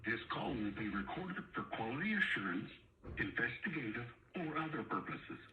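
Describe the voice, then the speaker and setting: A man reading a scripted, formal automated phone menu announcement with measured enunciation. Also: medium pitch, very animated, phone-quality sound. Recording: phone menu recording with faint noise.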